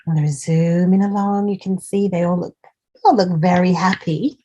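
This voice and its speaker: A woman's voice: fairly low pitch, normal speed, warm tone, dull audio. A woman hosting a children's storytime, reading a picture book aloud and chatting to young listeners in an encouraging way as she points out details. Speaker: children's storytime host and reader.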